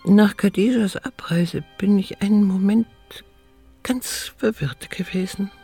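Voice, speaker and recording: A woman's voice: medium pitch, unhurried, dark tone, husky. Elderly woman, music behind. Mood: wistful, tender. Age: older. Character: lonely elderly woman, tender, reclusive, talking to herself. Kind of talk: radio drama monologue.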